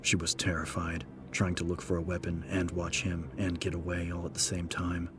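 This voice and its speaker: A man narrating dramatic horror fiction in a grave, measured manner. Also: low voice, monotone. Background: prominent background music.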